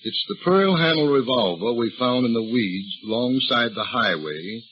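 A folksy, drawling old detective. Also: male voice, medium pitch, normal speed, slightly rough. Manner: drawling, folksy, calmly needling. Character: shrewd folksy older male police detective. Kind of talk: 1930s radio drama acting. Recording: dull old radio recording with faint hiss.

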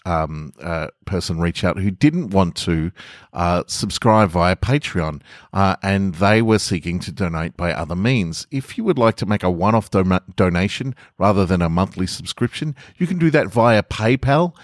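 A man's voice: low voice, no echo, clean studio sound. A man hosting a podcast, speaking casually and earnestly to his listeners. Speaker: podcast host and storyteller. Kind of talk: casual podcast outro with scripted story reading.

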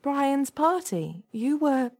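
Woman playing nervous office girl, high shaky voice, desperate, pleading, hesitant, audiobook character. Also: big pitch swings, slow pace, a little mellow, exaggerated voice. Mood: incredulous. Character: nervous, desperate young female office temp.

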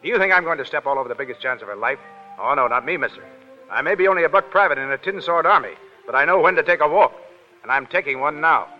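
A man's voice: on the high side, expressive, fast, faint music behind, natural voice. An actor playing a brash, self-effacing American Foreign Legion private in 1930s radio drama, with blunt, slangy tough-guy talk. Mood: bitter, resigned. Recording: vintage 1930s radio, dull, hall reverb.

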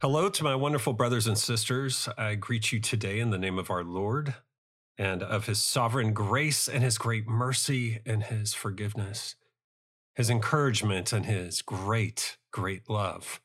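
A man with a somewhat low voice leads a Bible study podcast and prayer. His voice is raspy from a cold. He speaks earnestly and devoutly, in measured phrases. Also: a bit bright. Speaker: podcast preacher.

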